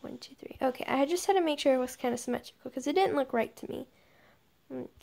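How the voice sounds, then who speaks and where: High-pitched, creaky young woman gamer. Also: quick pace, a little mellow. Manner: murmured counting under breath, thinking aloud. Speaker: gaming video creator. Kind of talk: casual game commentary while building. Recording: noisy home recording with clicks.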